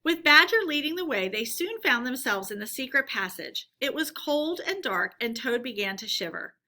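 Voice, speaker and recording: A woman reading a children's story aloud as an expressive storyteller. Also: high-pitched, normal speed.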